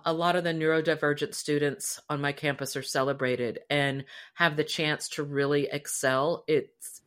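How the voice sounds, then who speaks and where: A woman's voice: low voice, steady tone, normal speed. A woman co-hosting a podcast, chatting casually and expressively about a story in an earnest way. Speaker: podcast co-host.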